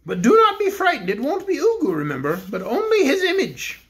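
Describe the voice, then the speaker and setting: A stately toy bear king, played by a woman reading aloud, speaks in a raised, lilting voice that swings widely in pitch. His manner is courtly and patient, with a gentle, pitying kindness. It is a storybook king, dignified rather than gruff. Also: wildly swooping pitch, a little fast.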